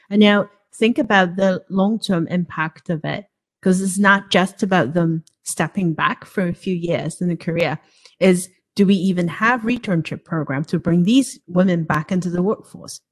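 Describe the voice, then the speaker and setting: A woman from Hong Kong hosting a podcast, speaking in an earnest, fluent conversational way. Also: on the low side. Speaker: podcast host. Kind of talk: conversational interview talk.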